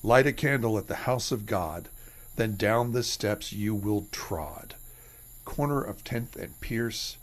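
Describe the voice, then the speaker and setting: A man's voice: fairly low pitch, expressive, slow. An actor playing a greedy, grumpy man who mutters to himself. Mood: muttering. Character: greedy grumbling man named Tony. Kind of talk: radio drama acting.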